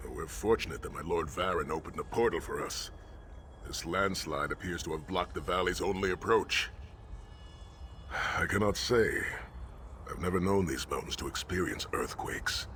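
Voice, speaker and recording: An actor playing an honorable warrior sage in a fantasy game, speaking in a measured, formal, earnest way. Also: male voice, sits low, bright, forceful tone, a little hiss or hum. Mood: reflective. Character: solemn, honorable male warrior sage, gently wry. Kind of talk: fantasy video game dialogue.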